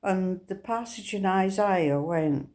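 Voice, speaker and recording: Slow-spoken Scottish woman sharing testimony. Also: medium pitch, a little mellow. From Scotland. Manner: earnest, unhurried storytelling. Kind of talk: personal faith testimony.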